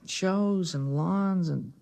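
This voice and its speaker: A man's voice: sits high, very slow, gravelly, darkish tone, heavily acted. An actor playing an earnest, disapproving small-town boy who narrates in a 1950s radio drama. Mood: pensive. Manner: earnest, plain-spoken. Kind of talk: 1950s radio acting, first-person narration and dialogue. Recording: restored vintage radio recording.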